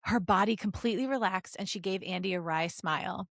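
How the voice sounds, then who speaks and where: A woman podcast host reading fan fiction aloud as an expressive story narrator. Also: medium pitch, a little fast, gravelly, no echo, studio quality.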